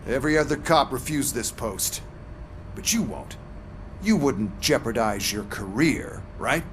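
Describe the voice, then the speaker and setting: A scheming official for a game: medium pitch, patronizing, sardonic, slight ambient noise. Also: male voice, big pitch swings, measured pace. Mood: commanding. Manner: condescending, sardonic. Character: smug, manipulative male police boss. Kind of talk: scripted video game acting.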